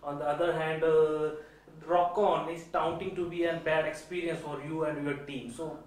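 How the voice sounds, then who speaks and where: A man's voice: fairly high pitch, level tone, normal speed. A man hosting a comic parody interview, teasing his guest with mock-formal questions. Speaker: comic talk-show host. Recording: echoey room, far from the mic.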